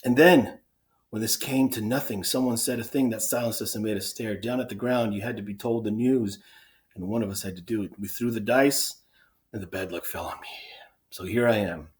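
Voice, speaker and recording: A man playing an anxious soldier, halting, wordy and self-excusing, in a dramatic reading of Greek tragedy. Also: on the low side, steady tone, lightly acted. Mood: anxious protesting.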